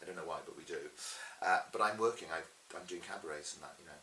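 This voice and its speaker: A middle-aged man with a Welsh accent, chatting casually as an interviewed stage performer and telling stories in a lively, chatty way. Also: deep voice, fast. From Swansea, Wales. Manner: chatty, animated retelling with acted-out quotes. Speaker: pantomime dame and cabaret performer being interviewed. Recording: roomy echo with faint hiss.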